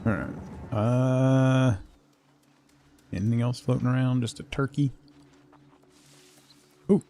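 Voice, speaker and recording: A man's voice: medium pitch, fairly even intonation, slow, dark tone, faint music behind. A man livestreaming a game with casual, conversational commentary, chatting to viewers in a laid-back way.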